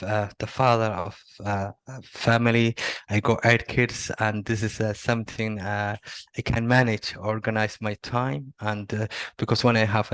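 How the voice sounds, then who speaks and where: A middle-aged man from Somalia, not a native English speaker, answering interview questions haltingly with many fillers and restarts. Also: fairly low pitch. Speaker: interviewee, Salesforce admin. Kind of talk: video interview.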